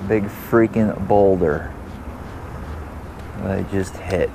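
A man in his thirties talking casually while fishing from an open boat on a river, getting excited when fish bite, picked up by a camera on the boat. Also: deep voice, slow pace, vocal fry. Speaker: fishing vlogger. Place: open bass boat on a wide river outdoors. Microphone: boat-mounted action camera. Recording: outdoor wind and boat noise. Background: boat and water noise.

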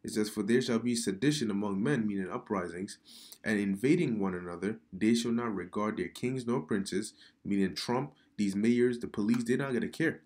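Male commentator, lowish voice, stumbling, preachy delivery, talking into a desk mic while reading on screen. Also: a little mellow. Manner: halting, repeats words, many fillers; preachy, addresses viewer as man. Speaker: online commentator. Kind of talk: casual religious commentary reading news. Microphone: computer mic during screen recording.

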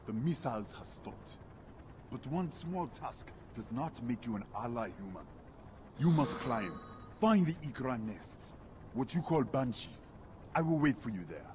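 A stern alien warrior who looks down on outsiders, barking orders with lively, rising and falling pitch. The video game cutscene has a faint hiss of noise behind the voice. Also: male voice, unhurried. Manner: stern, commanding, contemptuous. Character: proud hostile male alien warrior, scornful of humans.

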